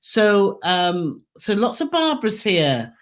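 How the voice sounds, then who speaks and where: Croaky woman hosting a live q&a, chatty and giggly, reading out comments. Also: medium pitch, very animated, slow. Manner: chatty, giggly, reads viewer comments aloud. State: hoarse, croaky throat. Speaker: co-host of a travel channel live stream. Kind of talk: casual live Q&A chat.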